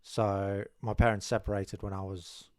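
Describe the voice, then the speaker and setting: A man's voice: fairly low pitch, level tone, moderate pace, no room sound, studio quality. A young man from South Africa, a podcast guest talking casually and modestly about his life, often restarting his sentences. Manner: modest, rambling with many restarts. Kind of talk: casual interview chat. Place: small studio room with curtains. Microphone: desk mic on boom arm, headphones.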